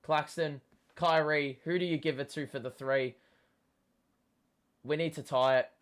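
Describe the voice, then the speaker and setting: A young man hosting a live basketball watchalong, swearing often and yelling at big plays, his voice going hoarse and cracking. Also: a little high, steady tone, a little slow. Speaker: host.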